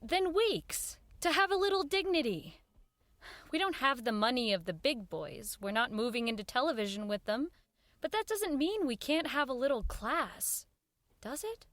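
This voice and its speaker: A woman's voice: high-pitched, expressive, moderate pace, a bit bright, dry recording. An actress playing an idealistic, determined woman who runs a 1940s radio network, speaking in an earnest, dignified, persuasive way in an audio drama. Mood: dryly determined. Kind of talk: audio drama acting, dialogue and wistful first-person narration.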